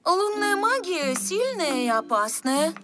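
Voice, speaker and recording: Proper young cartoon fairy teacher, high and animated, schoolteacherly, music behind. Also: female voice, heavily acted. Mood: brisk. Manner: brisk, schoolteacherly. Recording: music under the voice.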